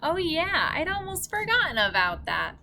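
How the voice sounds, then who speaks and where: A woman's voice: very high voice, huge pitch swings, normal speed, vocal fry, bright, forceful tone, pitched above the performer's own voice, full character voice. A woman voicing a cheerful, eager young girl adventurer in a storybook, upbeat and encouraging.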